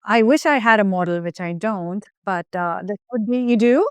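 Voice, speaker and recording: A woman hosting an interview, affirming her guest in a casual, halting way with fillers. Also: medium pitch, clear, resonant tone, no room sound. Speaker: podcast host.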